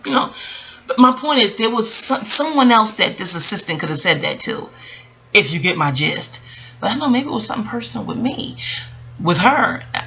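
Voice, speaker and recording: Woman in her forties with a bright, creaky voice. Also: medium pitch. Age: early forties. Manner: rambling, digressive, animated retelling with acted-out quotes. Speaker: vlogger. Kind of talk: casual reflective personal storytelling.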